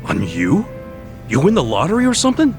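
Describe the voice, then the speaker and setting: A man's voice: high voice, wildly swooping pitch, a little fast, over music, full character voice. An actor playing an easygoing, brash, optimistic man in a video game dub, speaking in slangy, informal dialogue. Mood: friendly. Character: easygoing, brash, optimistic street-smart man, a loyal buddy.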